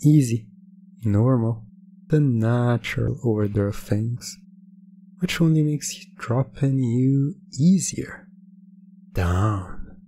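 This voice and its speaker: A man performing hypnosis ASMR in a soft, husky, breathy voice, speaking deliberately with a teasing, commanding manner. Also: medium pitch, expressive.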